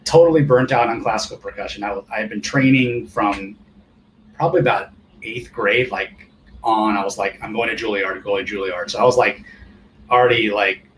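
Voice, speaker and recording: A man in his forties with a low voice whose first language was Japanese. He talks at ease and rambles through his memories, often restarting a thought. A faint hiss sits under the recording. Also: moderate pace, poor audio. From California, United States. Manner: relaxed, rambling storyteller with many restarts. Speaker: guest percussionist. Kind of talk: casual interview chat.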